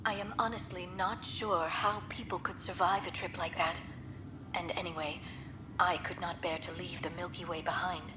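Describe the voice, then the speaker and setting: An actress playing a polite, scholarly young alien archaeologist in a video game, speaking in an earnest, formal and courteous way. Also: female voice, on the low side, fairly even intonation, moderate pace, lightly acted, low-quality recording. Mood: warm, wistful. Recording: music playing behind.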